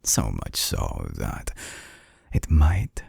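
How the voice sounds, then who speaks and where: A man playing an obsessive, calculating mad-scientist villain in an intimate audio drama, coaxing in a husky half-whisper with slow, silky menace. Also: sing-song, a little mellow, dry recording, full character voice. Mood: teasing menace. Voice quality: very deep, gravelly, husky, breathy half-whisper. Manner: slow, deliberate, silky menace with lingering pauses. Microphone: close binaural microphone, very dry.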